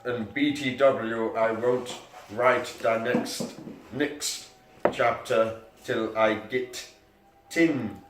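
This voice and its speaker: A man's voice: medium pitch, fairly even intonation, drawn-out words, slight background noise. Guy doing a whiny defensive teen girl fanfic author, super drawn out and exasperated, roomy echo. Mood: petulant. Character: defensive, petulant teenage girl fan-fiction author. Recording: echoey room, mic at a distance.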